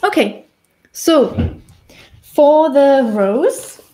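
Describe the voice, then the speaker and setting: German-accented woman in her thirties, warm and slightly high, relaxed chatty live-stream teacher. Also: extremely slow, poor audio. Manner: chatty, laughs often, restarts while painting. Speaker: face painting tutor. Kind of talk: casual live-stream tutorial. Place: small indoor room with decorated wall.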